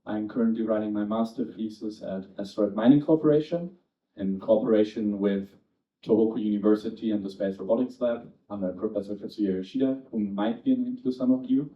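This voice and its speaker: Man with a lowish voice at a panel. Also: fairly quick. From Germany. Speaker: panelist, master's student. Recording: echoey room, distant dull mic.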